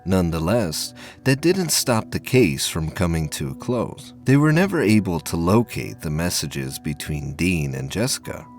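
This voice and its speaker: A man with a low voice narrates a science fiction audio drama. His delivery is formal and measured, with lively movement in pitch, and faint music plays behind him.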